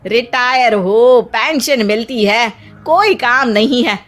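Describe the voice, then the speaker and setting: A woman's voice: a little high, slow pace, over music. A woman voicing a nagging, teasing older housewife and grandmother, scolding and sarcastic, in a family comedy story.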